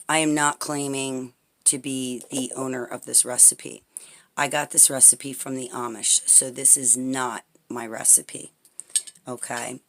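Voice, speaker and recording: Brief: raspy-voiced woman, home tutorial host, chatty and rambling, filmed over a tabletop. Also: extremely low pitch. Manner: chatty, rambling, frequent "okay?" check-ins. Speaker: herbal salve maker demonstrating a recipe. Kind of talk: casual how-to tutorial. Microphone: camera pointed at the table, speaker off-frame.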